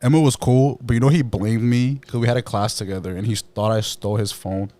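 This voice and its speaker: A young man born in Brazil hosting a podcast, chatting casually and energetically with plenty of slang. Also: mid-range voice. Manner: quick, energetic, slangy interviewer. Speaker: podcast host.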